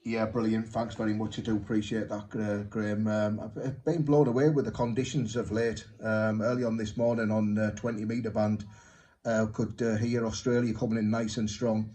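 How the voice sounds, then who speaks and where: A man chatting as an amateur radio operator, speaking in short, halting pieces. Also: lowish voice, level tone, warm tone. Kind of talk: unscripted ham radio contact chat.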